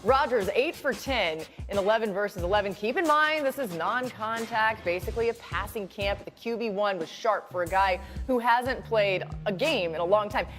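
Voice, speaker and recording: A woman hosting a sports talk show in a lively, playful way, reading recaps and throwing to analysts. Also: mid-range voice, normal speed, few pauses, faint music behind. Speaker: host. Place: TV studio.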